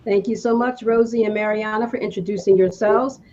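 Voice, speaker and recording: A woman hosting a talk show who laughs easily and speaks with religious warmth about love and the Lord. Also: mid-range voice, fairly even intonation, a little fast, muffled recording. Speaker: show host and company owner. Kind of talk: warm faith-based talk show hosting.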